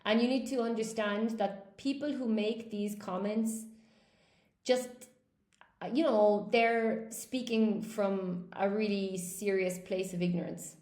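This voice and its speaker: A middle-aged woman English teacher chatting casually with viewers, reading their comments and telling stories in an animated way. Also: medium pitch, slow pace, echoey room. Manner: animated storytelling with laughs and acted quotes.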